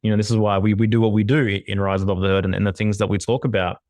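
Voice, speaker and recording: Low-voiced motivational podcast co-host man. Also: flat delivery, rapid-fire, a little mellow, no room sound. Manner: enthusiastic, motivational, swears casually. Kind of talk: casual podcast chat.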